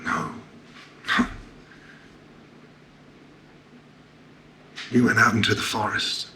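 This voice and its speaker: A man playing a haunted, brooding survivor under questioning, speaking gravely in breathy, near-whispered horror monologue. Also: on the low side, flat delivery, brisk, pushed and bright, a little hiss or hum. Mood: terse, irritated.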